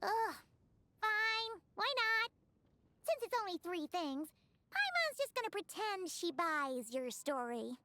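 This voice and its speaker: A woman voicing a tiny childlike fairy companion in a video game, chirpy, sceptical and sassy, with exaggerated squeaky delivery. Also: sing-song, slow pace, bright, forceful tone, heavily acted. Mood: grudging. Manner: squeaky, exaggerated, speaks of self in third person. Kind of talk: English video game voice acting. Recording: game music behind the voice. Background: game music.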